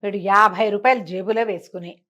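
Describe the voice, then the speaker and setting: A woman's voice: medium pitch, fairly even intonation, fairly quick, bright, forceful tone. A woman telling folk tales conversationally, explaining as she goes and posing rhetorical questions. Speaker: storyteller.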